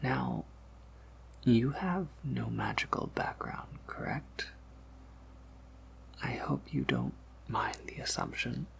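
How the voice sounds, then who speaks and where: Male performer as courteous vampire apothecary keeper, ASMR roleplay: unhurried, heavily creaky whisper, gentle, formal, quietly amused. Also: medium pitch, full character voice. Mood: gentle, reassuring. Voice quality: breathy whisper with gravelly creak.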